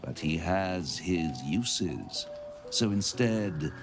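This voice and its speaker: An actor playing a suave, gloating devil in a video game, British-accented and theatrical, savouring each phrase. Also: male voice, low-pitched, lively intonation, very slow, music in the background, full character voice. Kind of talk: video game villain dialogue.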